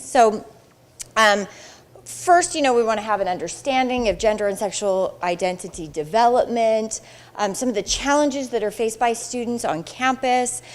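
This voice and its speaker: Animated woman instructor, big voice, on a handheld mic. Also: medium pitch. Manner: animated, chatty, acts out quoted reactions. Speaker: psychology instructor and Pride Center coordinator. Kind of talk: classroom presentation. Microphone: handheld microphone.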